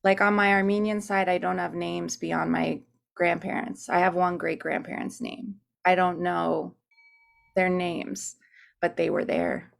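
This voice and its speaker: A woman singer and teacher on a video call from her desk at home, telling her personal story in an earnest, searching way and pausing to gather her thoughts. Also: lowish voice, vocal fry. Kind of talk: reflective personal account. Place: home room at a desk by a window.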